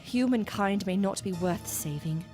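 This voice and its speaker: A woman playing a disillusioned female scientist in an audio drama, speaking in a formal, measured way. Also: mid-range voice, darkish tone, soft background music, lightly acted. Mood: dejected. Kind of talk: period audio drama acting.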